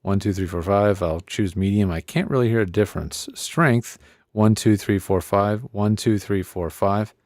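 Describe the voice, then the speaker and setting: A man's voice: fairly low pitch, normal speed, darkish tone, no room sound. A man presenting a casual hands-on audio gear tutorial, thinking aloud in a conversational way on a close studio microphone. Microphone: close studio podcast microphone.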